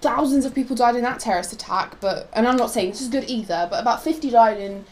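A woman's voice: a little high, quick pace, a little mellow. A young woman from Poland in a casual, opinionated discussion of current affairs, talking informally and rambling a little. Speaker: co-host. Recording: noticeable background noise.

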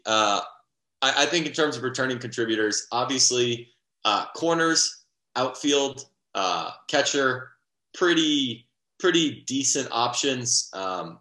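A man's voice: mid-range voice, bright, forceful tone, echoey room. A young man co-hosting a sports podcast in casual, rambling chat, full of fillers and restarts. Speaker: podcast co-host.

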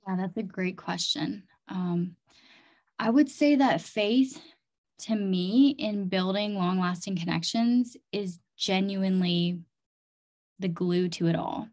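Woman being interviewed, earnest and emphatic, medium pitch, says like and literally a lot. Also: takes it slowly, a little mellow. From Chiang Mai, Thailand and North Dakota. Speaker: interview guest, community development leader and leadership coach. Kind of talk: earnest personal storytelling in an interview.

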